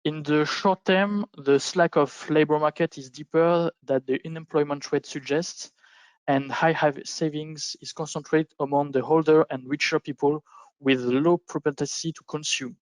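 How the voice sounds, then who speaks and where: A man speaking as an investment panelist, giving formal, measured, prepared-sounding explanations on a conference call. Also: a little high, steady tone, no room sound, rough recording. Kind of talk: prepared roundtable commentary on markets.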